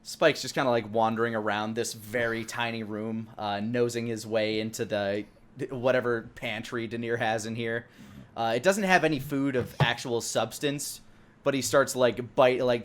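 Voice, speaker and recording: Mid-pitched man narrating as game master. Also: steady tone, moderate pace. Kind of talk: improvised tabletop game narration.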